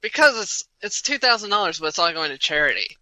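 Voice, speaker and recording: A young man with a very bright, sharp voice, chatting casually on a podcast. He is blunt and opinionated and talks with lots of fillers. The sound is close and dry, with a slightly higher pitch than most men. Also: sing-song, fast, no echo, poor audio. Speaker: podcast co-host. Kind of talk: casual chat.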